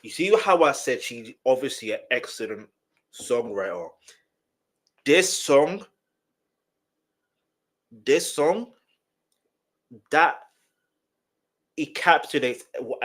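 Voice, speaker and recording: A man with a slightly nasal voice, giving casual reaction commentary and talking straight to the viewer, often repeating words in quick bursts. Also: medium pitch, expressive, a bit bright. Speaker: music reaction host.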